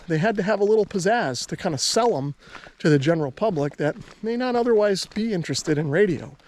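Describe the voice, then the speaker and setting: An American man talking casually to viewers as a hobbyist host, explaining his points in an easygoing, conversational way. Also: on the high side. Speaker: radio hobbyist and video host.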